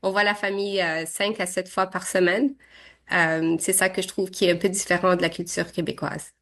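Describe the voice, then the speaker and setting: A woman's voice: fairly low pitch, steady tone, normal speed, a bit bright. A woman in her thirties from Syria, whose first language is Arabic, answering interview questions in a hesitant way with many fillers and restarts. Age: 30s.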